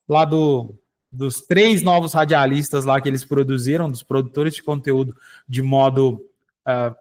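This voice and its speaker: Guy walking viewers through an ai tool live, kinda high voice, keeps saying tá and né. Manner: explanatory, addresses listeners directly with frequent "tá?" and "né?". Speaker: radio show host. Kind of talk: live software demonstration.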